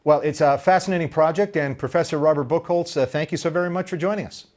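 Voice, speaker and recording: A man hosting a radio interview, asking his guest questions in a polite, measured way. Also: a little high, darkish tone. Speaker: radio host.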